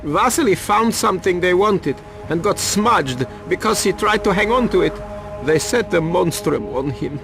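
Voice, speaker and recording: A man's voice: high-pitched, animated, normal speed, vocal fry, music in the background. An actor playing a cagey, self-important, money-minded reporter turned informant in a video game, evasive as he talks. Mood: brusque, guarded. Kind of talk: video game cutscene acting.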